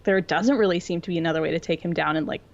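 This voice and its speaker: A woman with a fairly low voice talks casually as a podcast co-host. She is earnest and thoughtful, reasoning aloud in informal language. The recording is a little dull and lo-fi. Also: rapid-fire, creaky. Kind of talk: casual discussion of fiction. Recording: dull lo-fi audio.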